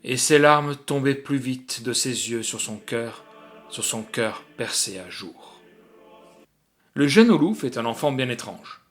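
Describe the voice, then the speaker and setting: A man narrating a literary short story in an expressive, theatrical way with deliberate phrasing. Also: mid-range voice, faint music behind.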